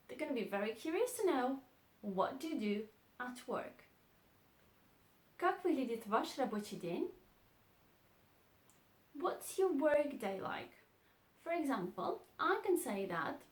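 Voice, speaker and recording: Echoey woman teaching. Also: on the high side. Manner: slow, clear model phrases, repeated twice. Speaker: Russian teacher. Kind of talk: language lesson.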